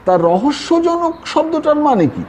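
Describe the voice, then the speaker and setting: A man plays a wary young man in an audio storybook. He sounds reluctant and questioning, in a mellow, high-set voice with some background noise. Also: sing-song, unhurried, exaggerated voice. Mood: puzzled. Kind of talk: audio storybook character acting.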